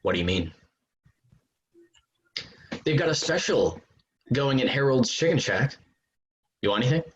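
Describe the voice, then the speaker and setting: A man's voice: medium pitch, big pitch swings, unhurried, pauses often. Man acting pushy guy, dull roomy audio. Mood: casual, evasive. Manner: wheedling, self-justifying. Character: pushy, entitled young man with a menacing calm. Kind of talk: virtual staged reading of a play. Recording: dull, roomy, noisy video-call audio with clicks.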